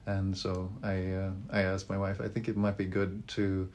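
A man in his thirties with a Swedish accent, answering interview questions. His voice sits low and stays fairly flat. He pauses often and restarts his sentences as he thinks. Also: slight background noise. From Sweden. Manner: thoughtful, hesitant with frequent fillers and restarts. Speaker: library director.

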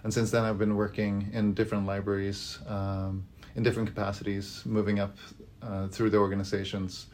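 A man's voice: fairly low pitch, little pitch movement, fairly quick, darkish tone, slight background noise. A Swedish man, a library director in his thirties, answering interview questions thoughtfully with frequent fillers and restarts. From Sweden.

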